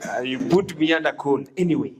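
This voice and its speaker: A student debater with a Kenyan accent gives a fiery, rhetorical debate speech, repeating slogans and shouting points. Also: mid-range voice, lively intonation, brisk. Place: university debate hall. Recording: echoey hall with a noisy crowd.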